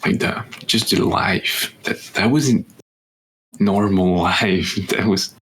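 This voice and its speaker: A man's voice: low voice, takes it slowly, vocal fry, a bit bright, slight background noise, low-quality recording. A young French man in his twenties, whose first language is French, co-hosting a podcast in English with a French accent, hesitant and searching for words. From France. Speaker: podcast co-host. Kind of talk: casual chat.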